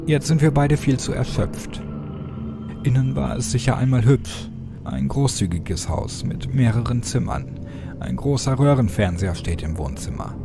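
A man's voice: mid-range voice, expressive, normal speed, vocal fry. Man narrating horror over music. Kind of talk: scripted first-person horror story narration. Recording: music behind the voice.

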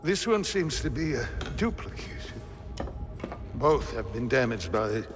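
A man playing a courteous, thoughtful scholar in a video game, speaking formally and deliberately. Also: medium pitch, sing-song, long reverb tail, music in the background. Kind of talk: cinematic video game acting.

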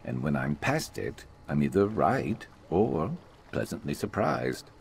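A brooding reptilian adventurer from a video game, speaks in an extremely low, creaky growl. The delivery is dry and grumbling, yet it swings expressively up and down, wry and gruff throughout. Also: male voice, very animated, a little slow, darkish tone, slight background noise, full character voice. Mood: deadpan, musing. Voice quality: gravelly. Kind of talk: video game companion dialogue.

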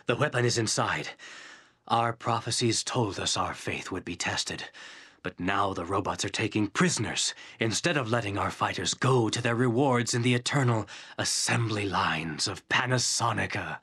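An actor playing a devout, urgent techno-cultist scientist in a game, earnest and declamatory. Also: male voice, fairly low pitch, fairly bright tone, slightly rough.

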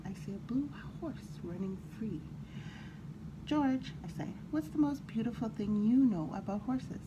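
A woman storyteller reading a children's picture book aloud in an animated, expressive way, with brief asides. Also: mid-range voice. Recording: clearly audible background noise.

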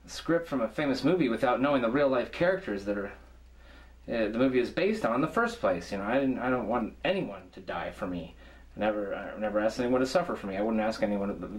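Man musing aloud to a camcorder. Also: medium pitch. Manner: questioning, thinking aloud, slightly halting. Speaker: amateur filmmaker. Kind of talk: rambling musing monologue to camera. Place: small indoor room with cardboard walls.

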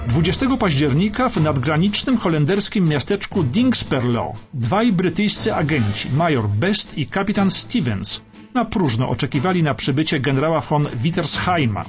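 A man's voice: on the high side, big pitch swings, moderate pace. A man narrating a scripted historical radio documentary with emphatic, suspenseful storytelling. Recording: dull radio sound over music bed.

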